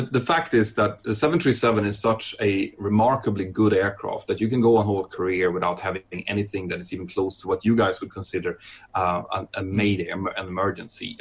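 Man from northern Sweden, airline training captain, low voice, unhurried chatty interview answers. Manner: relaxed, thoughtful, many fillers and restarts. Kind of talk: podcast interview.

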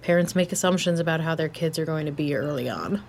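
Wry, easygoing young female techie for audio drama; lower register, blunt, over background ambience. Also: quick pace, creaky, a little mellow, a little hiss or hum. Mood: reflective, easygoing. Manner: casual, slangy, dryly joking. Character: laid-back, wry, blunt young hardware tech. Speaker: voice actor. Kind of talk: naturalistic audio drama dialogue.

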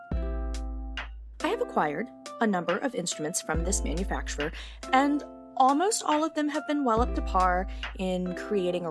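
A woman playing a petty, indignant neighbor in a wry, theatrical yet conversational comic monologue. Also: on the low side. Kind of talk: comic monologue in audio drama.

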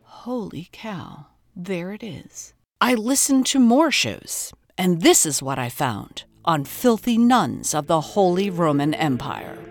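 A woman's voice: lowish voice, very animated, slow, slight background noise. A woman podcast host, delivering scripted investigative narration and interview questions.

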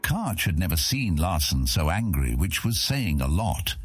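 A man's voice: low-pitched, big pitch swings, a little slow, over music. A man reading scripted epic fantasy narration for an audiobook.

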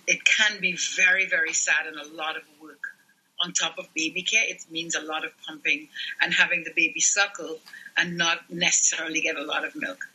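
A man's voice: on the high side, sharp tone, slightly rough, poor audio. A middle-aged man from Trinidad and Tobago, a lactation specialist explaining earnestly as a podcast guest. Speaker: newborn care and lactation specialist.

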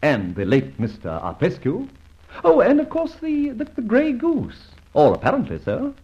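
An actor playing a suave, cheeky gentleman adventurer in an old radio drama, well-spoken and jaunty with theatrical exclamations. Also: sits high, huge pitch swings, normal speed, slightly rough, exaggerated voice. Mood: amused, feigning surprise. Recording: muffled, hissy old radio recording.

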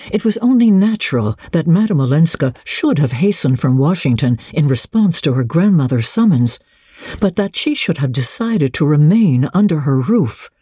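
A woman reads literary fiction in a husky, dark-toned voice. Her delivery is measured and dramatic, with lively rises and falls. The recording is dry and close. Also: low-pitched, dry recording. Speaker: audiobook narrator.

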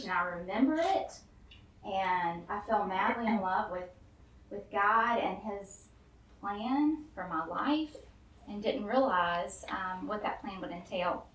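A woman's voice: mid-range voice, measured pace, a bit bright. Nervous woman in an echoey room. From Memphis, Tennessee, US. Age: 30s. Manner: nervous, earnest, laughs often. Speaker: guest storyteller. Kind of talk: personal testimony to a live audience. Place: room with a live audience. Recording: roomy and distant with faint room noise.

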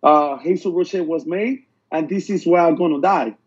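High, husky man from Puerto Rico. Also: moderate pace, dull audio. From Puerto Rico, raised in the mainland United States. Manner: repeats himself, earnest, insistent. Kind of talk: casual podcast chat.

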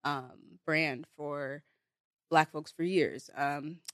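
A woman's voice: low-pitched, steady tone, drawn-out words, no room sound. A young woman from Nigeria whose first language is Igbo, chatting casually as a guest on a studio podcast, full of "like" and "um" fillers. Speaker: guest, beauty brand founder. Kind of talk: casual interview. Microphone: studio mic.

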